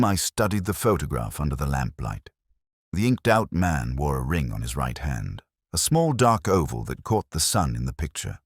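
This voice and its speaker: A middle-aged man reading a scripted first-person detective story in a measured, reflective, literary way. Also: sits low, no room sound, studio quality. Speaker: audiobook-style narrator of a detective story.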